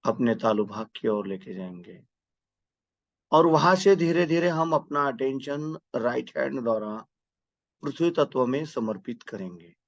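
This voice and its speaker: A man leading a guided meditation with prayer, giving step-by-step instructions in a measured, devotional manner. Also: mid-range voice, expressive, dry recording, lo-fi sound.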